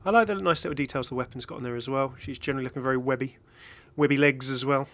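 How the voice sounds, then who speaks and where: Bright-voiced man doing casual gaming commentary. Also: medium pitch, rapid-fire. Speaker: video host, game content creator.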